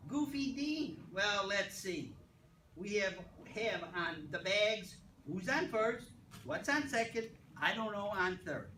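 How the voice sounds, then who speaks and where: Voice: mid-range voice, expressive, slow pace, bright, forceful tone. An amateur reader playing an unflappable baseball coach, the matter-of-fact straight man in an old-time radio comedy routine. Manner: matter-of-fact, insistent answers. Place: meeting room. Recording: roomy, distant mic, noisy with clicks.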